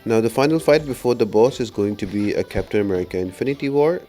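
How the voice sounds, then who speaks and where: Low, mellow man explaining a game walkthrough. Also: fast. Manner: instructional, step-by-step explaining. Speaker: gaming guide creator. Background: background music.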